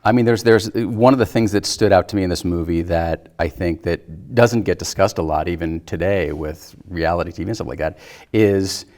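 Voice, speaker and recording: A man's voice: low-pitched, normal speed, darkish tone. A man in a casual discussion, giving his opinions hesitantly and circling before he lands on a point. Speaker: film discussion panelist.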